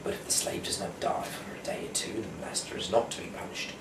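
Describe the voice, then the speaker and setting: A man from the UK who speaks haltingly and stumbles over words as an amateur host and reader. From United Kingdom. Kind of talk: casual rambling talk, then reading scripture aloud. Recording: echoey room, distant mic, clear background noise.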